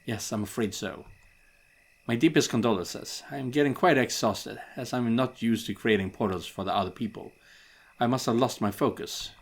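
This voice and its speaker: A man's voice: mid-range voice, husky. A man as Calypso, a formal, weary portal maker. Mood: apologetic, weary. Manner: measured, formal, deferential.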